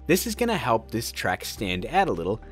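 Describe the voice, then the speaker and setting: A man hosting a casual software tutorial, upbeat and playful with joking asides. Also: mid-range voice, wildly swooping pitch, a little fast, soft background music. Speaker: tutorial host.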